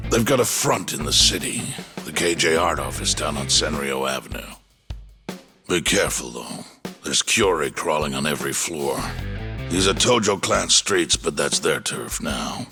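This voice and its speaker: An actor playing a gruff, guarded, streetwise informant in a video game, speaking in a confiding, cautionary way. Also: male voice, extremely low pitch, expressive, a little slow, over music, full character voice. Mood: grave, warning. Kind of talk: English game dub acting.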